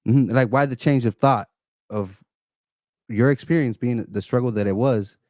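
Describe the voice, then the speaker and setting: A man's voice: mid-range voice, normal speed, a little mellow, no echo. Insistent Californian man, dry close sound. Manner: earnest and insistent, repeats phrases and talks over the other man. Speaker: debater on a live stream. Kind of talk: heated argument. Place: indoors, an apartment.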